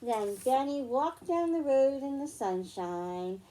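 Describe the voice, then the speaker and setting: A woman with a high, mellow voice reads a story to young children. Her pitch moves in lively sweeps and her pace is unhurried. She explains things simply, as a storytime host. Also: low-quality recording.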